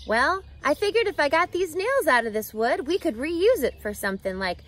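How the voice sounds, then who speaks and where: A woman's voice: high-pitched, very animated, moderate pace, a bit bright, pitched above the performer's own voice. A woman voicing a cheerful, helpful young farm girl in a children's storybook read outdoors. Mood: eager, explaining. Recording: outdoor ambient background noise.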